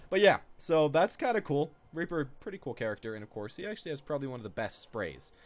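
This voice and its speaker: A man's voice: on the high side, animated, fast. A man giving casual, off-the-cuff gaming commentary as a video host, rambling and repeating his own phrases.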